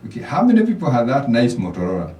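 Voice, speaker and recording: A man's voice: medium pitch, wildly swooping pitch, very fast, dark tone, roomy sound, distant mic. A Kenyan man, a financial coach, giving motivational advice as a radio guest, often repeating words as he starts a thought. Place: radio studio.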